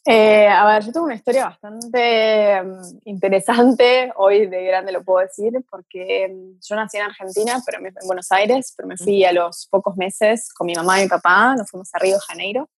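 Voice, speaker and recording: A woman. She rambles through her answers with fillers in a middle-pitched voice. The sound is dry and close, with no room echo. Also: steady tone, moderate pace. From Brazil. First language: Portuguese. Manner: chatty, thinks aloud with fillers. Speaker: guest, mentor to mothers. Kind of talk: casual interview chat.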